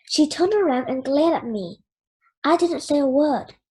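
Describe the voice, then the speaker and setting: A child reading a children's storybook aloud in an expressive storytelling style. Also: high-pitched, measured pace. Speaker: children's book reader.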